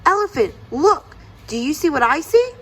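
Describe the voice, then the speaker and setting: A woman's voice: high voice, big pitch swings, normal speed, a bit bright, voice pushed up. A woman voicing Anansi's part in a children's storybook, a sly male trickster with a coaxing, insistent way of talking. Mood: friendly, scheming. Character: sly lazy trickster spider Anansi, eager and pushy, male.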